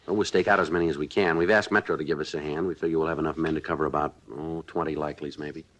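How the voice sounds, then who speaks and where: An actor playing a terse, deadpan police sergeant who also narrates the case, in a 1950s radio drama. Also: male voice, rumbling low, racing. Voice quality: flat, clipped, matter-of-fact delivery. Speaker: detective narrator.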